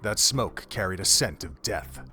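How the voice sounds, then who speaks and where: A man's voice: low-pitched, animated, unhurried, sharp tone, faint music behind. A man narrating a dramatic story from a script, as the narrator of an audio drama.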